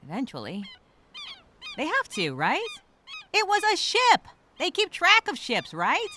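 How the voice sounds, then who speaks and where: A woman's voice: high-pitched, wildly swooping pitch, unhurried, bright, forceful tone, slight background noise, exaggerated voice. A woman playing a nervous, wry castaway who rambles and reassures herself in a spoken journal monologue. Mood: anxious, self-reassuring. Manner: rambling, nervous, self-questioning, sarcastic asides. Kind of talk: first-person dramatic monologue as spoken journal.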